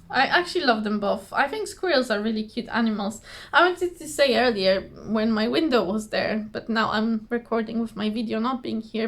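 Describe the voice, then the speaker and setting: A woman with a slightly hoarse voice, chatting casually to camera at home in a rambling, giggly, self-deprecating way. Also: fairly high pitch. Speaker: cross-stitch vlogger. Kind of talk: casual chatty craft vlog. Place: indoors at home, trees outside behind her.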